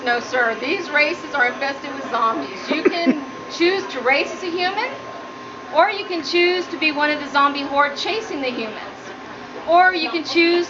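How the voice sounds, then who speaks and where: High-pitched woman, nervous giggly public speaker, humorous student speech, dull audio with clear background noise. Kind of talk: humorous prepared classroom speech. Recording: muffled low-quality audio, noticeable background noise.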